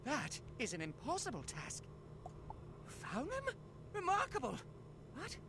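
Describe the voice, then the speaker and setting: An actor voicing an excitable, solemn male scholarly creature in a video game, with dramatic, exclamatory delivery. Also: very high voice, a little slow, noisy background, heavily acted. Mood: astonished. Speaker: quest-giver character. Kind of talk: theatrical video game dialogue.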